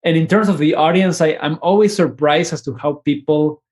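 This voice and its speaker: A man, a composer talking through his ideas in a relaxed interview, often restarting phrases and ending with a questioning "no?". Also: a little high, brisk. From Mexico. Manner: thoughtful, many restarts and tag questions. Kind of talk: conversational interview.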